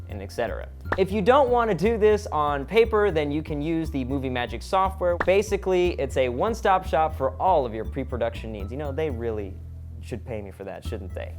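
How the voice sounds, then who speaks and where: Animated male vlog host over music. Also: on the high side. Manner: instructional with casual joking asides. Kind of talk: comedic scripted vlog explainer.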